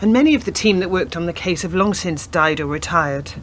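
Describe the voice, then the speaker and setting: Actress doing earnest probing lady detective, radio play, kinda low voice, slight background noise. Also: a little fast, close to an everyday voice. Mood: factual, earnest. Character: persistent young female police detective, earnest, probing.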